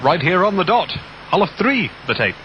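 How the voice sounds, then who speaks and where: A man's voice: sits high, big pitch swings, normal speed, pushed and bright, muffled recording, a little hiss or hum. A man hosting a music radio show, chatting casually in a cheerful, jokey, talkative way. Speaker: radio host. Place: studio aboard a radio ship at sea. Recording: offshore radio aircheck, music behind.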